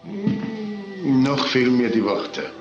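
An actor playing a wry, inquisitive police detective in a German crime radio drama, with an understated manner. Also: male voice, fairly high pitch, big pitch swings, slow pace, highs cut off, over music, heavily acted.

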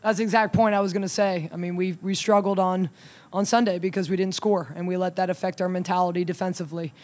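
A woman in her thirties, a head coach, talking through a game at a postgame press conference in a measured way, with many ums and restarts, into a table mic. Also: on the low side, little pitch movement, darkish tone. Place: press room in front of a logo backdrop. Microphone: table mic on a stand.

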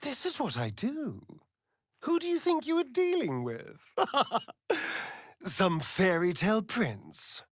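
Flamboyant vampire rogue from a video game, arch and mocking, slow drawl, super swoopy pitch, really dry studio sound. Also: male voice, wildly swooping pitch, no room sound, heavily acted. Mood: teasing, amused. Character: flamboyant, sardonic, seductive male vampire rogue. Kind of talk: theatrical video game character acting.

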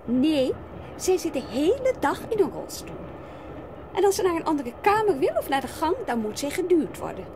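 A coaxing aunt in an audio drama. Her high voice swings extremely widely, sugary and persuasive, as she sweet-talks a child with a scheming sweetness. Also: big pitch swings, normal speed, noisy background. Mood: explaining. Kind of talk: Dutch audio drama acting.

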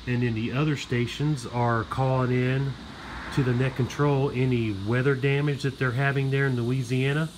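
A man's voice: mid-range voice, moderate pace. Guy filming his radio setup in his garage, lots of uhs, crickets and hiss behind him. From Florida. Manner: relaxed, filler-heavy, explaining as he goes. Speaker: radio hobbyist demonstrating his gear. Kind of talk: casual show-and-tell. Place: home garage and yard. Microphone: handheld phone camera.

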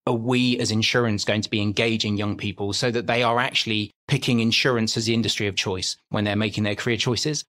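A man's voice: on the low side, fairly bright tone. A man guest explaining his youth project in an earnest, explanatory way. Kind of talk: explanatory interview talk.

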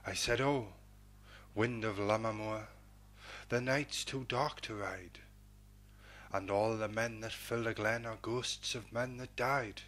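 A man hosting a poetry programme and reading verse in a measured, dramatic, declamatory manner with a husky voice. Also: fairly low pitch, a bit bright. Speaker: poetry programme host and reader.

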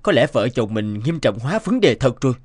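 A man's voice: mid-range voice, very animated, fairly quick, darkish tone. A man voicing an earnest, easily swayed young father in a cartoon. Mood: concerned. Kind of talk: cartoon voice acting.

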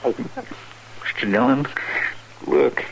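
An American actor playing an earnest, simple western deputy with a folksy rural drawl, hesitant and deferential, in a 1950s radio drama. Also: male voice, medium pitch, takes it slowly, close to an everyday voice. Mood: uneasy, hushed. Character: simple, earnest male frontier deputy, respectful and bewildered. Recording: very noisy old radio recording.

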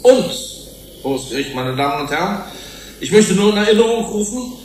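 An actor playing a managerial project director giving a formal, oratorical speech to a tribunal in a German radio play. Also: male voice, high voice, expressive, moderate pace, a little mellow, a little hiss or hum. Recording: echoey hall, played as court tape recording.

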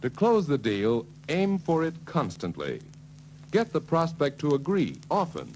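A man's voice: a little high, huge pitch swings, a little slow, exaggerated voice. A man narrating a 1940s sales training film in a scripted storytelling style. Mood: brisk storytelling. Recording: noisy, humming 1940s film soundtrack.

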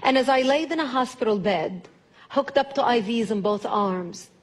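High-voiced Lebanese woman giving a speech. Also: normal speed. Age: middle-aged. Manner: emphatic, rhetorical repetition. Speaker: public speaker. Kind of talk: prepared speech to an audience. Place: large auditorium stage at a lectern.

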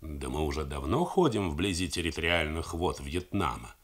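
A man's voice: extremely low pitch, big pitch swings, a bit bright, pitched below the performer's own voice, exaggerated voice. A man voicing a naval officer who reasons soberly about a risky order, in a radio reading of a novel. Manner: reasoning, measured.

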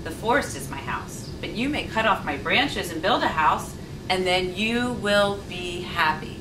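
A woman voicing a gentle, generous, loving apple tree in a children's storybook reading. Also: lowish voice, expressive, a little slow. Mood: sorry, giving. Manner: kindly, giving. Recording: roomy, distant mic, faint noise.

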